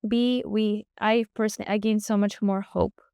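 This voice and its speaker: A woman hosts a podcast in an exceptionally smooth, clear voice of middle pitch. She reflects earnestly and poses long questions. The sound is close and dry. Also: little pitch movement, normal speed, mellow, no room sound. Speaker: podcast host. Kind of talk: podcast interview.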